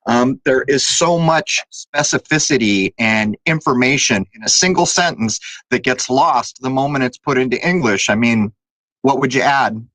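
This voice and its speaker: A man's voice: mid-range voice, a bit bright, no room sound, low-quality recording. A man hosting a talk show, chatting casually and drifting between topics with fillers. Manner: conversational, digressive with fillers. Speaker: podcast host.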